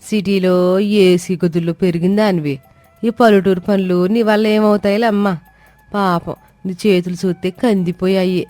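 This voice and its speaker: A woman voicing a two-faced older village neighbour in a cartoon tale, dripping with drawn-out false sympathy. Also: mid-range voice, steady tone, warm tone, no room sound, lightly acted. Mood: mock sympathy. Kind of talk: acted dialogue in an animated moral story. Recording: music behind the voice. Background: music.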